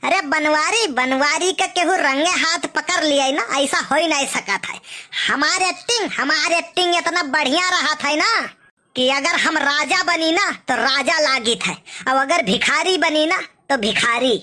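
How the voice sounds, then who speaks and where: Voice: high voice, unhurried, bright, forceful tone. Wily old Awadhi village beggar, acted out. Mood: boastful. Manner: sing-song blessings, wheedling, boastful. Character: wily, wheedling old male beggar, a boastful village trickster. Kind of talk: Awadhi village cartoon comedy acting.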